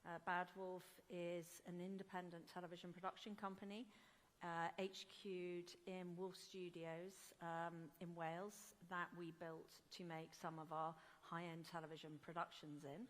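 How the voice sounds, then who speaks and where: Flat-toned woman executive. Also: on the low side, a little hiss or hum. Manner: quick and matter-of-fact. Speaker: company executive. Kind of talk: panel self-introduction. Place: conference hall. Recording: hall reverb with faint ambience.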